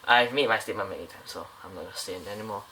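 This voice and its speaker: A man's voice: fairly low pitch, very fast, pushed and bright, slight background noise, rough recording. Chatty man reviewing a model plane. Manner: rambling, many fillers and restarts. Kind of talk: casual product review.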